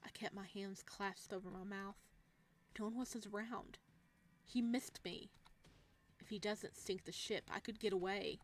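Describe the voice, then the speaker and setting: A woman with a medium-pitched voice hosts a visual novel playthrough. She reads the narration aloud and adds casual, excitable reactions, with a giggly manner. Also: unhurried. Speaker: gaming channel host.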